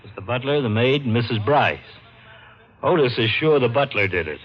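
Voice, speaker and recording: An actor playing a weary, no-nonsense police lieutenant with a worn-out voice in an old radio crime drama. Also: male voice, medium pitch, animated, moderate pace, lightly acted. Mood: businesslike. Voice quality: worn-out sounding. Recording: muffled, slightly noisy old radio recording.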